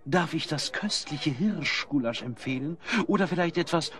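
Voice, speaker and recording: A man's voice: on the high side, animated, normal speed, a bit bright. A man playing an eager, obliging innkeeper in a radio play.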